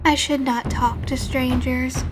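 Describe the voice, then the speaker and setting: A woman voicing an eerie undead little mummy girl in an audio drama, quiet, slow and deadpan. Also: high voice, music in the background.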